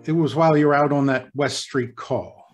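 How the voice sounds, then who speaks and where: A man playing a gentle, regretful hospital doctor in an old-time radio drama, hushed and somber. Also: fairly high pitch, moderate pace, natural voice. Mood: sorrowful, hushed.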